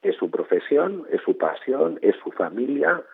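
A man's voice: mid-range voice, sing-song, unhurried, creaky, husky, no echo. A man in his fifties from Spain, a novelist answering interview questions thoughtfully, often repeating words and ending with tag questions. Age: 50s. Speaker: guest novelist. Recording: tinny phone-like line.

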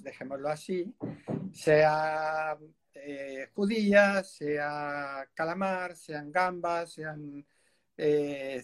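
Chatty man hosting a radio interview. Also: high voice. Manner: chatty, rambling, laughs often. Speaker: radio host. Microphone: studio microphone.

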